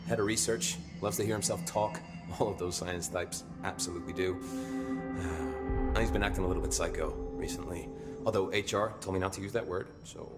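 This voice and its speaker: An actor playing a blunt, wry security officer in a video game, talking casually with a sardonic streak. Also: male voice, deep voice, fairly quick, natural voice. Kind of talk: video game dialogue acting. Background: music.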